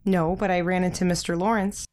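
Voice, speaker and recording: An actress playing a shy, kind, earnest young sister in a modern audio drama, speaking gently. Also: female voice, fairly low pitch, little pitch movement, a little fast, close to an everyday voice. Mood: casual, shy.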